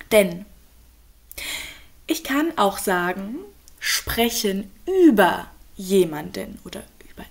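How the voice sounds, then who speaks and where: A young woman, a native speaker and German teacher, calmly explaining grammar in an online lesson with worked examples. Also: medium pitch. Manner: slow, clear, patient explaining with examples.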